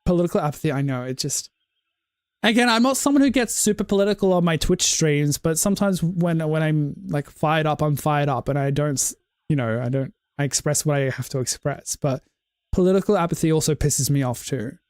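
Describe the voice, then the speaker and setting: A woman's voice: deep voice, fairly quick, a little mellow, no echo, studio quality. A woman game streamer on a casual livestream, ranting with passion, leaning on "like" and cutting herself off to restart. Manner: impassioned ranting, heavy use of "like"; self-interrupting restarts.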